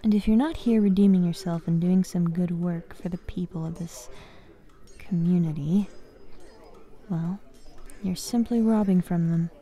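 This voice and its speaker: A woman playing a bossy but caring young fighters guild master in an ASMR fantasy roleplay, soft-spoken and commanding. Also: on the low side, close to an everyday voice. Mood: stern. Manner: soft-spoken, commanding, frequent sighs and pauses.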